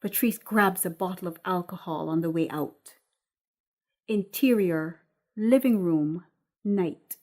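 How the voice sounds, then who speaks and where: A woman's voice: fairly low pitch, slow, darkish tone. A woman narrating a screenplay, reading out scene headings and stage directions. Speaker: script reader, narrator.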